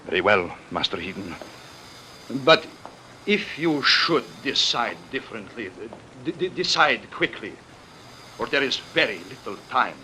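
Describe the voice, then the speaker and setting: Solemn council messenger, bright forceful tone, declamatory, vintage radio acting, slight noise. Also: male voice, medium pitch, big pitch swings, unhurried, exaggerated voice. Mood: grave warning. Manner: formal, urgent, declamatory. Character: solemn, urgent council envoy warning a leader. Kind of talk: old-time radio drama acting. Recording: faintly noisy vintage radio broadcast.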